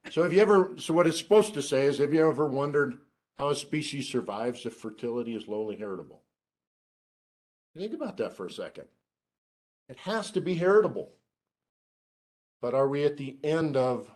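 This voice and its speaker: A middle-aged man giving a seminar lecture to students, who calls his own voice monotone and drops dry joking asides while he asks the room questions. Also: medium pitch. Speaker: research scientist giving a seminar.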